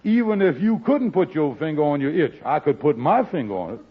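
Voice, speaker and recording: An actor playing an excitable, work-shy married schemer who blusters and mangles big words in an old radio sitcom. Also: male voice, fairly high pitch, a little fast, slightly rough. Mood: blustering. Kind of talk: 1940s radio sitcom acting. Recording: muffled old radio broadcast.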